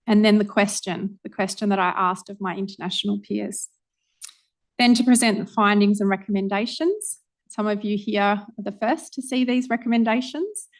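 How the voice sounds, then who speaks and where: A woman researcher giving a public lecture, earnest and organized, often pausing with ums. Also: mid-range voice.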